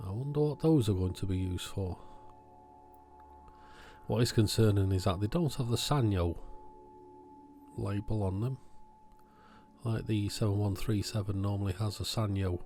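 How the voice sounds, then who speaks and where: A man with a fairly low voice talks casually through a hands-on hobby task. His delivery is unscripted and matter-of-fact, as if thinking aloud, with some music in the recording. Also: normal speed, long pauses, warm tone. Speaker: electronics hobbyist video host. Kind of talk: casual hands-on unboxing and testing commentary.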